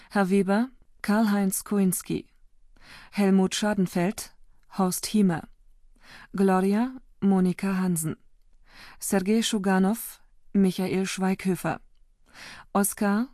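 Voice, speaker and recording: Casting: woman announcer for drama credits, medium pitch, soft breathy husk, dry studio sound, unhurried and neutral. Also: no room sound. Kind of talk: scripted credits reading.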